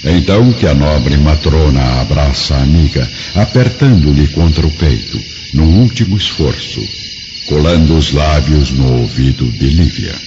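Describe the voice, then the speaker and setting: A man narrating an audiobook drama in a measured, dramatic way. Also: very deep voice, expressive, noisy background. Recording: dull lo-fi, clear music behind.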